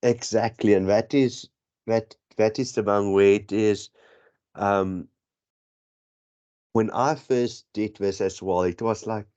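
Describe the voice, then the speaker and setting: South african guy tutoring stats, slow and rambly, keeps correcting himself, muffled lo-fi audio. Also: on the low side, fairly even intonation, dark tone. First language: Afrikaans. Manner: rambling, self-correcting explanation. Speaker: statistics tutor. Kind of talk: exam prep lesson. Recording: dull lo-fi audio.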